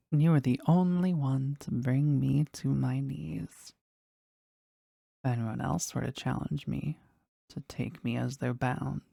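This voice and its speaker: A powerful demon, played by a woman speaks slowly and intimately in a gravelly low voice. The phrasing is formal and reverent, possessively devoted to a master, as in a scripted audio drama recorded close and dry. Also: mellow, dry recording, heavily acted. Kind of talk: scripted roleplay audio drama, addressed to the listener.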